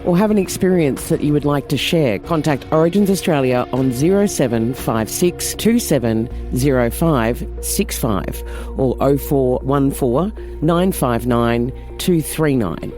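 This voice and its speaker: A man's voice: mid-range voice, animated, measured pace, a little mellow, faint music behind. Sincere male podcast co-host. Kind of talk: warm-toned interview remarks and scripted outro.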